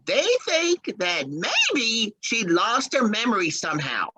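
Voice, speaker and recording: A woman's voice: medium pitch, wildly swooping pitch, slow, background noise. A woman voicing a naive, easygoing mama's-boy husband with exaggerated drawling delivery in an old-time radio drama. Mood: carefree cheer.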